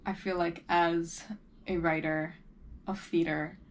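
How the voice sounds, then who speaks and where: A woman's voice: on the low side, little pitch movement, slow pace, a little hiss or hum. A woman talking casually and informally in a vlog, loosely rambling as she shares updates as an emerging playwright. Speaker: vlogger and early-career musical theatre playwright.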